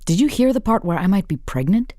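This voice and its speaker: A woman's voice: medium pitch, very animated, fast talker, warm tone, no echo, clean studio sound, close to an everyday voice. An actress playing a guarded, disenchanted young woman writer in an audio drama, with a blunt, sardonic manner. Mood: incredulous. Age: young adult. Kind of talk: naturalistic audio drama acting.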